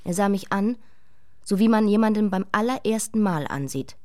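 A woman's voice: mid-range voice, expressive, normal speed, a little mellow, lightly acted. An actress playing an imperious, restless young queen in a radio play, speaking with commanding intensity. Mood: wondering, intimate. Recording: long reverberant hall.

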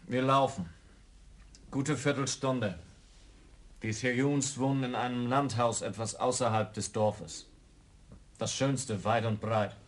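An actor voices a calm Frisian police lieutenant in a radio play. He talks in short, plain phrases, unhurried and stubborn. His voice sits in a middle pitch. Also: natural voice. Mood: quiet. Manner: slow, terse and plainspoken. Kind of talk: radio drama acting.

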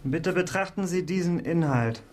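An actor playing a brisk, probing police investigator with a formal, terse manner in a historical radio crime drama. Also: male voice, a little high, a little mellow, lightly acted.

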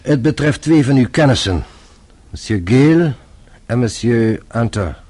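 A patient French police inspector in a radio drama. He speaks in a warm, husky voice, formal and measured, always politely probing. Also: medium pitch, animated. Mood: courteous, measured. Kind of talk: radio play acting.

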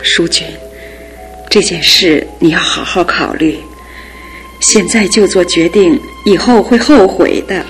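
A woman's voice: a little slow, close to an everyday voice. An actress playing a weary older mother. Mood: doubtful. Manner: tired, sighing and low-voiced. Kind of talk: radio drama acting. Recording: radio drama with music behind.

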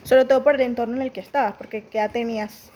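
Gently probing female interviewer. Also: fairly high pitch, steady tone, fast, lo-fi sound, a little hiss or hum. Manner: gentle, probing, with hesitant fillers. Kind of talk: interview questions.

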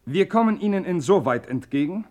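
A man's voice: sits high, animated, fairly quick, mellow. Brusque, stiff male duelling second. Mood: brusque, stern. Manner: curt, stiffly formal. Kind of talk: radio drama acting.

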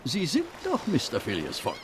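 An actor playing an officious male detective in a German radio drama, formal, insistent and excitable. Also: medium pitch, moderate pace, noisy background. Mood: officious, excited.

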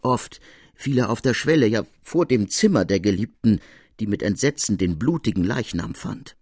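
A man reading a classic literary tale as narrator, in measured, formal storytelling prose. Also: lowish voice, lively intonation, darkish tone, slightly rough, dry recording, clean studio sound.

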